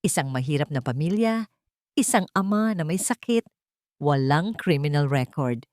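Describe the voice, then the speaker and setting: A woman's voice: low-pitched, darkish tone, no echo, studio quality. A woman reading a scripted romance story as its narrator, in a dramatic storytelling style.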